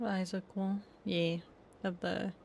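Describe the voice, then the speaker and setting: A woman's voice: medium pitch, level tone, slow, gravelly, a little mellow, a little hiss or hum. A woman streamer giving casual, offhand, joking commentary on a game.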